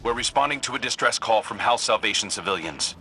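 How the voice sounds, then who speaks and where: Earnest sci-fi commander giving briefings. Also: male voice, on the low side, lively intonation, sharp tone, slight background noise, low-quality recording. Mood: focused, urgent. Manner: measured, serious mission briefing. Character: earnest, duty-bound male sci-fi commander. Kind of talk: video game mission narration.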